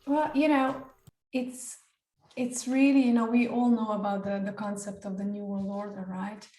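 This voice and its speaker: A woman's voice: medium pitch, level tone, normal speed, mellow. Woman from Slovenia, echoey and distant. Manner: hesitant, many fillers and restarts. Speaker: guest. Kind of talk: casual spiritual podcast conversation.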